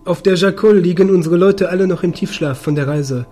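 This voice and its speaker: A man's voice: sits high, fairly quick, mellow, faint music behind. A man playing a wry, unruffled fugitive space pilot in his forties in a science-fiction audio drama. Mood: casual.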